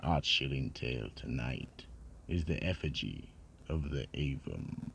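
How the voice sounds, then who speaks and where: A man narrating an eerie mystery radio drama in a theatrical storytelling style, with dramatic pauses. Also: extremely low pitch, big pitch swings, creaky, fairly bright tone, slight background noise.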